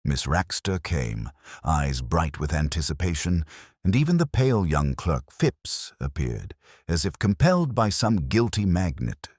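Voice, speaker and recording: A man reading a mystery story as narrator, in an even, unhurried storytelling manner. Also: extremely low pitch, slightly rough, dry recording, clean studio sound. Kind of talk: scripted audiobook narration.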